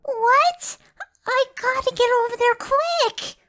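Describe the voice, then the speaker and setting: Squeaky, very high little cartoon bee. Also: takes it slowly, sharp tone, full character voice. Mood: alarmed. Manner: squeaky, childlike. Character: cheerful, naive little boy cartoon bee. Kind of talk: fan audio drama acting.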